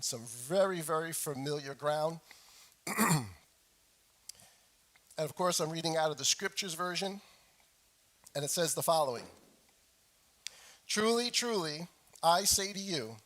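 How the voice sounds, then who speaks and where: A middle-aged male pastor delivers a sermon in a somewhat high-pitched voice that is hoarse from a lingering cold. He speaks deliberately and leans on repeated phrases to drive his point home. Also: fairly bright tone. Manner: frequent throat clearing. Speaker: pastor preaching to his congregation. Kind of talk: sermon with scripture reading.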